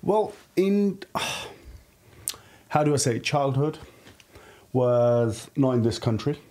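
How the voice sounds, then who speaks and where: A man's voice: medium pitch, animated, very slow, a little mellow. A man in his early thirties from Pakistan, talking casually and candidly as a podcast guest in a reflective, informal way. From Lahore, Pakistan. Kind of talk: casual personal storytelling. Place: podcast studio. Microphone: studio podcast microphone.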